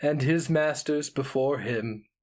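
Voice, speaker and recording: A man's voice: medium pitch, takes it slowly, a little mellow, close to an everyday voice. Grave ancient inhuman immortal voiced by a man. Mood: grave, ominous. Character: ancient inhuman male, grave, mad, porcelain-faced immortal.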